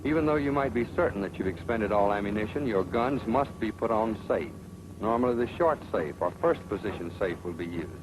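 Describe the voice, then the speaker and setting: A man's voice: fairly low pitch, expressive, a little fast, noisy background. A man narrating a 1940s training film in an authoritative, sardonic manner. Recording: old 1940s film soundtrack with music.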